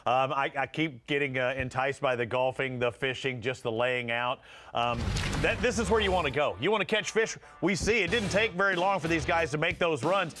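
A man's voice: a little high, moderate pace, noisy background. A middle-aged man hosting a fishing show from a TV studio, chatting casually and reading out catch alerts. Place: TV studio set.

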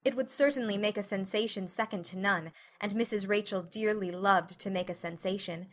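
A woman's voice: medium pitch, moderate pace, fairly bright tone. A woman reading scripted literary narration as an audiobook narrator.

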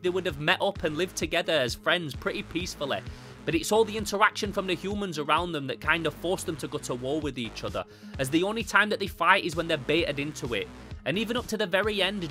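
A young man presents a scripted comic book review with casual enthusiasm. His voice sits slightly high for a man and is bright and punchy. Soft music plays behind him. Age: young adult. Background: background music.

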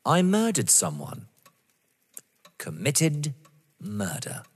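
Audio drama: wounded, menacing avenger, played by a man, slow and halting, dramatic, set in a reverberant hall. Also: fairly high pitch, wildly swooping pitch, slightly rough, exaggerated voice. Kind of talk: full-cast audio drama acting of a stage play.

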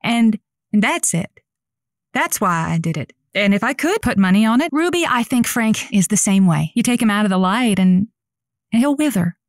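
A woman's voice: mid-range voice, lively intonation, moderate pace, no echo, studio quality, close to an everyday voice. A woman playing a chirpy, earnest radio advice host in an audio drama, chatty and playful, hesitant when flustered. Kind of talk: semi-scripted audio drama, naturalistic.

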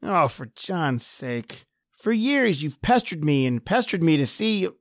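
A man playing a gruff, impatient building engineer in an old-time radio drama, talking curtly and bossily. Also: a little high, big pitch swings, measured pace, no echo, studio quality. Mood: bossy. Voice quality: curt, bossy. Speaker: host and actor.

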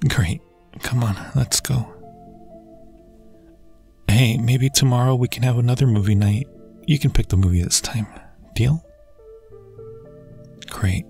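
A man plays a lovestruck young man in an intimate ASMR roleplay, devoted and anxious. His voice is mid-pitched, husky and creaky, with earnest, hesitant phrasing. Faint music sits behind him. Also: a little mellow. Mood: tender, sleepy.

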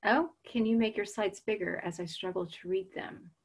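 A woman's voice: medium pitch, fairly even intonation, normal speed, husky. A woman in her forties with an American accent, chatting casually on a video call webinar and reading out audience answers. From United States. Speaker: webinar presenter. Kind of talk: casual chat while waiting to start. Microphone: video call webcam.

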